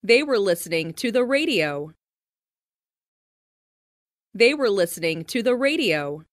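A woman's voice: medium pitch, big pitch swings, a little slow, no room sound, clean studio sound. A woman reading scripted example sentences carefully for language learners, in separated phrases. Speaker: language lesson narrator.

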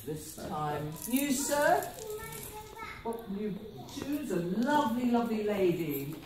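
A woman playing a sly, cajoling young male hero in an amateur pantomime, with drawn-out, declamatory delivery. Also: mid-range voice, very animated. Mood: showy. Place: village hall, far camera. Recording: distant noisy echoey village hall, audience.

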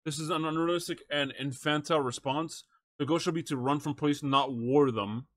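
A man's voice: on the high side, a little fast. A man streaming live, chatting casually and slangily with his viewers and reading things aloud into a close microphone. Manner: slangy, says bro and chat often. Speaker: live streamer reacting to a video and talking to chat.

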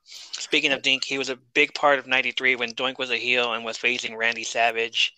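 Bright, crisp-voiced male podcast host. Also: mid-range voice, monotone, a little fast, no room sound, poor audio. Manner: chatty, reads notes, jokes and laughs. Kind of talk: casual wrestling review chat.

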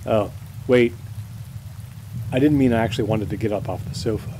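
A man's voice: lowish voice, moderate pace, natural voice. A man playing a wry, lazy, affectionate husband in an audio drama, sardonic and playfully dramatic. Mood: wry, bored. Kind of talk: naturalistic audio drama acting. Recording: loud rain and background noise.

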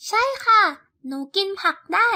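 A woman's voice: moderate pace, bright, forceful tone, no room sound, clean studio sound. A woman voicing a polite young girl duckling in a children's storybook, with a childlike way of speaking. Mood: cheerful.

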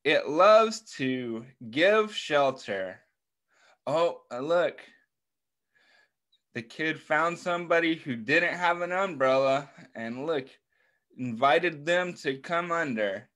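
Slow-talking American story time man. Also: fairly high pitch, animated, a bit bright. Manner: encouraging, asking questions and praising listeners. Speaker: children's story time host. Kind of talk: interactive picture book read-aloud for young children. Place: indoors on a video call.

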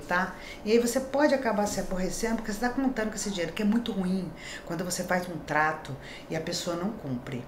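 A woman's voice: mid-range voice, reverberant, a little hiss or hum. A middle-aged woman from Brazil giving a daily horoscope tarot reading in a chatty, reassuring way, often checking in with tag questions. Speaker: tarot reader. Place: small decorated room indoors.

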